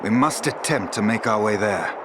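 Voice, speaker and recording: An actor playing a courteous, resolute elven warrior lord in a fantasy game, speaking in a formal, measured, archaic manner. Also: male voice, lowish voice, lively intonation, background noise, lightly acted. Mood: commanding.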